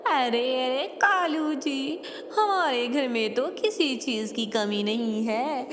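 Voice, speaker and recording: A scheming cartoon crow wife, acted by a woman nags and exclaims in a high voice. The sound has long reverb and clear noise. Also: takes it slowly. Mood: coaxing. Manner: coaxing, exclaiming. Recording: noisy, long hall-like reverb.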